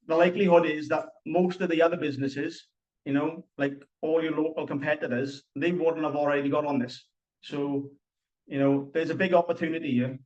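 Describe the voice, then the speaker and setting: A man's voice: on the high side, distant mic. Welsh man explaining, dull lo-fi audio. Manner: earnest, explanatory, repeats points with fillers. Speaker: presenter. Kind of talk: live online workshop talk. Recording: dull lo-fi sound.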